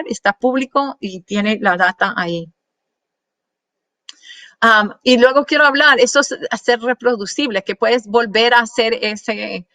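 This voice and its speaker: Woman speaker giving a slide talk, fairly high voice, dry close sound, halting with fillers. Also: a bit bright, dry recording. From Colombian parents. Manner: many fillers and restarts, mixing in English words. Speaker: guest speaker. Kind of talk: presentation to an audience with slides.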